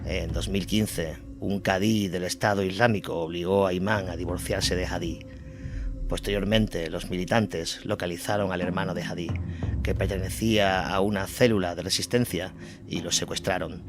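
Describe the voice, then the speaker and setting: A man performing scripted dramatic narration of soldiers' tragic histories in a measured, grave manner. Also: sits low, steady tone. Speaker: second narrator. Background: music bed.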